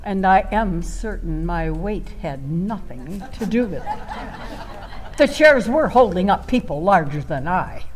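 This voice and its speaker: A woman's voice: lowish voice, expressive. A woman playing a flamboyant, self-dramatizing storyteller in a comic radio monologue, wry and flirtatious, drawing out words for effect. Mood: mock indignant. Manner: theatrical, drawn-out delivery with pauses, sighs and exclamations.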